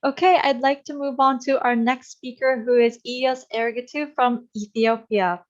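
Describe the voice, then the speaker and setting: A woman moderates an online webinar and introduces the next speaker. She speaks politely in a flat, fairly high voice. The call audio is dull and lo-fi. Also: moderate pace. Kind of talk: hosting a webinar. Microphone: video call.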